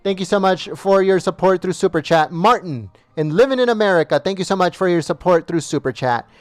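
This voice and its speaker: A man's voice: sits high, fairly quick, no room sound, soft background music. A man hosting a livestream, reading out viewer names and thanking each in turn while losing his voice, with small stumbles over names. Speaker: livestream host.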